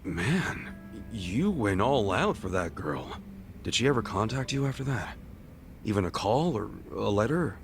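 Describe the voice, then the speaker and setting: A man's voice: lowish voice, sing-song, a little slow. An actor playing an earnest, plain-spoken male friend in a video game, talking colloquially and sympathetically with an American accent. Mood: sympathetic. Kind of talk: video game dub, conversational. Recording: music behind the voice.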